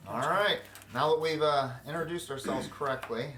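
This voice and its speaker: A man narrating as game master, recapping the last session in an unhurried way. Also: fairly high pitch, sharp tone, roomy sound, a little hiss or hum.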